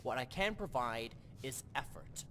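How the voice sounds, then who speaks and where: A man's voice: medium pitch, lively intonation, a little slow, fairly bright tone. A man voicing a theatrical, self-assured adventuring companion in a fantasy video game. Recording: long hall reverb, faint background noise.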